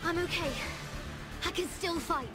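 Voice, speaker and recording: Very high-pitched plucky heroine. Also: female voice, fairly even intonation, measured pace. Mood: determined, urgent. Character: plucky, determined young heroine. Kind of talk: scripted video game battle acting. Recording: music behind.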